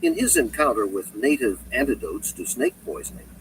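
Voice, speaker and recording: A male narrator reads scripted nonfiction with very animated, wide-ranging intonation at a medium pitch. His delivery is measured, articulate and emphatic. The mic sounds distant, with faint noise in the recording. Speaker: audiobook narrator.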